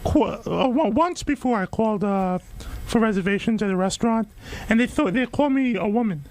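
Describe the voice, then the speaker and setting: A man with a very high, thin voice, a radio guest giving short, hesitant answers with stumbles. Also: animated, brisk, a little mellow. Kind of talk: nervous interview answers. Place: radio studio.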